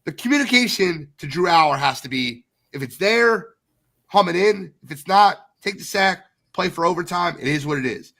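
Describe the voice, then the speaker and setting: Blunt, congested sports podcast host. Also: male voice, sits high, lively intonation, normal speed, creaky, pushed and bright. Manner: blunt, opinionated, calls it like it is. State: congested from a cold.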